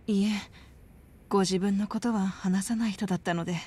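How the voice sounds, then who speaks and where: An actress voicing a polite, composed middle-aged orphanage worker with a worried, formal way of speaking in a video game cutscene. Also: female voice, mid-range voice, fairly even intonation, a little fast, mellow, husky, lightly acted. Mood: calm, earnest.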